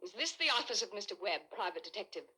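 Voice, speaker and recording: An actress playing a wealthy, desperate and haughty wife in an old radio suspense drama, speaking with dramatic intensity. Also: female voice, medium pitch, big pitch swings, fast talker, sharp tone, a little hiss or hum. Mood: haughty, guarded. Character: desperate, haughty wealthy wife narrating her own crime. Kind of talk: 1940s radio suspense acting, first-person narration. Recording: vintage radio recording with dramatic music behind.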